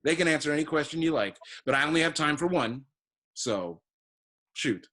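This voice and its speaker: A man playing a pompous, evasive political candidate, halting and self-repeating, who swells into grand slogans. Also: fairly high pitch, animated, bright, forceful tone. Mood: smug, evasive. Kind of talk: theatrical musical comedy acting.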